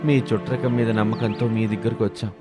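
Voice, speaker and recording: A scheming male guest acted by a man from a cartoon moral tale. He speaks low and politely, flattering like false kin, with music behind. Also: fast, lightly acted. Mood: ingratiating.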